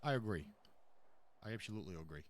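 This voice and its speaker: A low male voice, put on by a roleplayer, as a New York mob boss in a crime game. He is blunt and profane, hammering his orders home by repeating them. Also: expressive, fast talker, lightly acted. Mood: blunt, decisive. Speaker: roleplay player. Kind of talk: improvised in-character crime roleplay.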